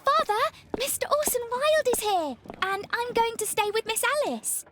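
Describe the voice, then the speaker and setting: A woman's voice: very high voice, animated, normal speed, a bit bright. A woman playing an eager, insistent, polite young daughter in a video game. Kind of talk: dramatic video game dialogue.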